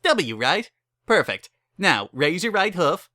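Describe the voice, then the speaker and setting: A man's voice: on the high side, big pitch swings, takes it slowly, bright, forceful tone, no room sound, studio quality, voice pushed up, full character voice. A man voicing a cheery, pushy pony mare who runs a wedding chapel, with eager sales patter in a dramatic reading. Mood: gushing, pushy. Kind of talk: dramatic reading of fan fiction.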